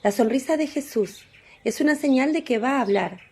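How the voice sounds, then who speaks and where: A woman's voice: sits high, animated, moderate pace, a little mellow, slightly rough. A woman narrating a radio drama in a scripted storytelling style.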